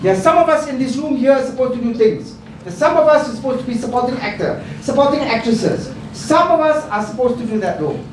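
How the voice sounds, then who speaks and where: A woman's voice: sits high, expressive, quick pace, few pauses. Woman moderating in a very echoey room. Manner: emphatic, repeats phrases for effect. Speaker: event moderator. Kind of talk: emphatic informal remarks to an audience. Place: indoor book launch event room. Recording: very echoey room, distant mic, crowd noise.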